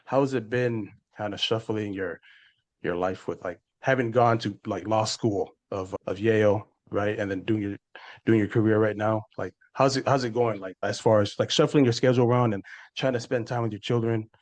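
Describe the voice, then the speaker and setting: A man's voice: on the low side, fairly even intonation, normal speed, a little mellow. A man hosting a podcast, asking questions in a chatty way full of fillers and "right?" checks. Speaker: podcast host. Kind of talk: casual podcast interview.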